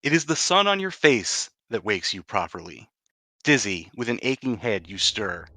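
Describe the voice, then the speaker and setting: A man's voice: lowish voice, sing-song, normal speed, pushed and bright, a little hiss or hum. A man acting as game master of a tabletop game, shifting between dramatic narration and casual hosting. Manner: dramatic storytelling delivery.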